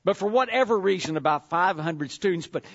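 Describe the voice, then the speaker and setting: A man from far west Texas, a visiting convention leader giving a folksy, conversational church address with frequent pauses. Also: high-pitched, expressive, gravelly, muffled recording.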